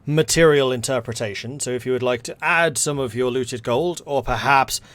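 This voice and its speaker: A man's voice: mid-range voice, moderate pace, fairly bright tone. A Scottish man giving casual let's-play commentary on a strategy game, chatty and wry, with joking asides.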